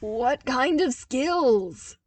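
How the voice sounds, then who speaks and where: Hot-tempered young military man performed by a female reader, incredulous and emphatic, slow stressed words, audiobook drama. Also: high voice, lively intonation, no room sound, distant mic, pitched above the performer's own voice, exaggerated voice. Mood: shrill, demanding. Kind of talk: audiobook character dialogue.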